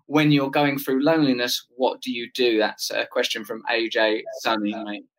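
A man hosting a livestream interview in a playful, chatty manner. His voice sits at a middling pitch for a man. He reads out viewer questions and calls his guest mate. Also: level tone, normal speed, fairly bright tone, rough recording. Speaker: host.

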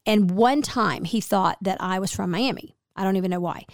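A middle-aged woman hosting a podcast, a chatty storyteller who acts out the people in her stories, with a slightly gravelly, hoarse voice. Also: medium pitch, normal speed, dry recording, clean studio sound. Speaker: podcast host. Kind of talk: casual chat.

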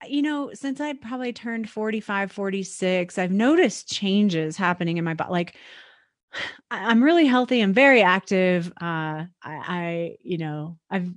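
A woman in her forties hosting an interview, reflecting and thinking out loud in a casual, halting way. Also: medium pitch, expressive, no room sound, studio quality. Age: 40s. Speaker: podcast host.

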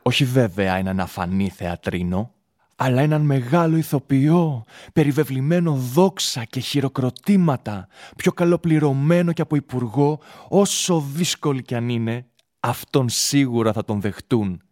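Man acting young stage actor in love, radio drama, ardent, ambitious, theatrical delivery, medium pitch, dry recording. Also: a little mellow, no room sound, close to an everyday voice. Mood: proud, confident. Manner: impassioned, theatrical, declamatory.

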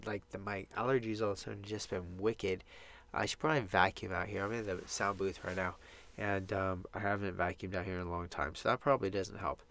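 A man's voice: deep voice, steady tone, a little fast, vocal fry, a bit bright. A man hosting his own podcast, talking casually and upbeat with frequent restarts, sounding stuffed up from allergies, recorded in a small home sound booth. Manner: rambling, upbeat, with many restarts. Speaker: podcast host. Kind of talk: casual solo podcast monologue.

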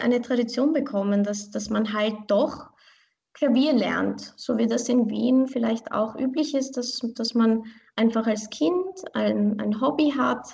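A young woman with a slightly high voice and a gravelly edge of vocal fry. She answers interview questions thoughtfully and earnestly, a pianist and teacher who often restarts phrases as she searches for words. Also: darkish tone. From Vienna, Austria. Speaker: pianist and online piano teacher.

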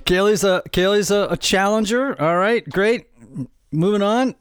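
A man's voice: sits high, a little slow, clean tone, dry recording. A middle-aged man hosting a casual family podcast, upbeat and joking, rambling a little with fillers. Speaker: podcast host.

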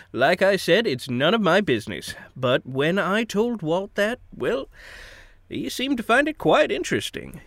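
Folksy older mayor with swooping, high-pitched voice. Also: male voice, sing-song, unhurried, creaky, fairly bright tone, no room sound, studio quality, full character voice. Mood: guarded, confiding. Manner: folksy, avuncular, hemming and hedging. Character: genial, evasive older small-town male mayor. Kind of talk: audio drama dialogue.

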